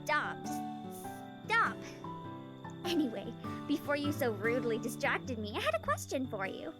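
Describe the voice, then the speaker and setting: A woman's voice: high voice, very animated, unhurried. A woman voicing a teasing, cheeky teenage little sister in an anime-style visual novel game. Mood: taken aback. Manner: playful, sassy. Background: game music.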